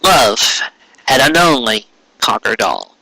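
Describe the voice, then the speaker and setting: A man reading a story aloud as an amateur narrator, in a dramatic, measured way with pauses. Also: medium pitch, big pitch swings, gravelly, pushed and bright, no echo, low-quality recording. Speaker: amateur audiobook reader.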